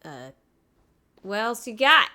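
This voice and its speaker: A woman's voice: fairly high pitch, very animated, slow, pushed and bright. A woman giving casual, playful commentary with wry asides as she streams a game playthrough. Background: game music.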